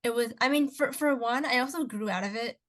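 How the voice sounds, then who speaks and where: A woman's voice: fairly high pitch, fairly quick, fairly bright tone. A young woman who grew up speaking Cantonese at home, co-hosting a casual podcast chat, talking in a rambling way with many restarts and asides. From Canada. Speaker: podcast co-host.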